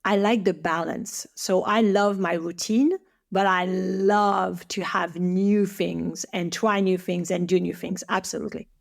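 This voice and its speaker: A woman's voice: fairly low pitch, fairly even intonation, a little slow, vocal fry. A woman with a French accent, whose first language is French, talking as a guest in a podcast interview with eager speech and many restarts. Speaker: guest, Chinese medicine teacher.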